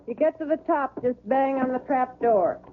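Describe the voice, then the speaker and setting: A woman's voice: high-pitched, moderate pace, natural voice. An actress playing a wary, curt older mother-in-law in an old-time radio drama, terse and suspicious. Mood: wary, grudging. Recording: muffled, noisy old radio recording.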